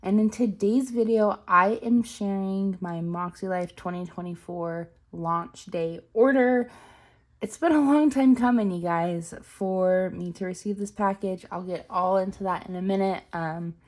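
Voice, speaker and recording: A woman's voice: mid-range voice, measured pace. A woman in her twenties telling a long story in a chatty vlog, her voice a little strained from a cold. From Utah. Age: 20s. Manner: chatty, rambling, frequent ums. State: recovering from a cold, slightly strained voice. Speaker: planner vlogger.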